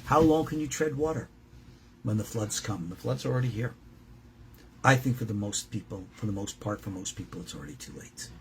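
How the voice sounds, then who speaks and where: Male rabbi, informal teacher-preacher. Gravelly fry, emphatic and direct, slips into imagined dialogue. Slightly noisy recording. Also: on the low side, animated, brisk. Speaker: rabbi and teacher at a Torah school. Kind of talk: informal religious lesson, preaching to students.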